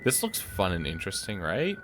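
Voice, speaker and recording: A man's voice: sits low, huge pitch swings, a little fast, a bit bright, soft background music. A man playing a game on camera, thinking aloud and making wry jokes as he goes. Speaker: gaming YouTuber. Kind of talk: let's play commentary.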